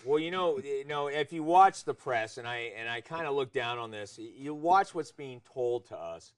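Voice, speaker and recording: A man's voice: medium pitch, animated, moderate pace. American man talking politics, middle-aged. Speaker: political talk show host. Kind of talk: opinionated political discussion.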